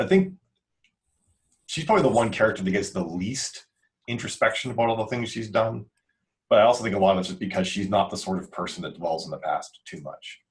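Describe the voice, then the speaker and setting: A man with a low-pitched voice hosts a relaxed, unscripted discussion. He talks informally, reworking his sentences as he goes. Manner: informal, self-correcting. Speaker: author and podcast host.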